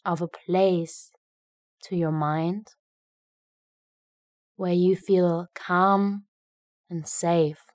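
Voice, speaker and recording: A woman leads a guided meditation at a very slow pace, with long pauses between short phrases. Her voice sits slightly low and she speaks gently. The recording is dry and close, with little room sound. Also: no room sound.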